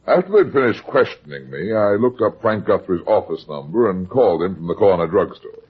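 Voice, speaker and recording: An actor playing a brusque, sardonic, wisecracking private detective in a vintage radio drama. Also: male voice, deep voice, sing-song, a little fast, husky, full character voice. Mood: narrating. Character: hard-boiled, wisecracking male private detective. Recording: muffled vintage radio recording.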